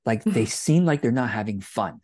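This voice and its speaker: A middle-aged man hosting a casual interview on a video call, talking with warmth and enthusiasm from a room at home through an earphone mic. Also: medium pitch, expressive, normal speed, dry recording, studio quality. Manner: warm, enthusiastic, gestures a lot while talking. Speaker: host.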